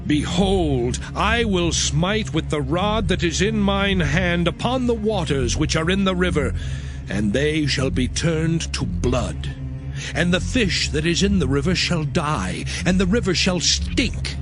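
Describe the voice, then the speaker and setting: Moses, high-voiced, slow, stern prophet. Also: male voice, animated. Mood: stern warning. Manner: declamatory, solemn, emphatic. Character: Moses, stern male Hebrew prophet delivering warnings. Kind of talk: dramatized scripture reading. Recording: music under the voice. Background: music.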